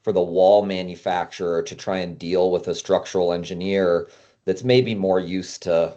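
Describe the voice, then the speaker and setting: A man's voice: sits low, fairly even intonation, normal speed, dark tone, dull audio. A man hosting a casual interview, talking informally and asking long, rambling questions. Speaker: podcast host, climbing consultant.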